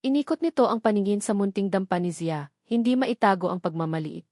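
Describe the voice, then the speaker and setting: A woman with a Filipino accent reading scripted romantic drama narration in a measured, emotive way. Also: medium pitch, lively intonation, darkish tone, no room sound, clean studio sound. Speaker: audiobook story narrator.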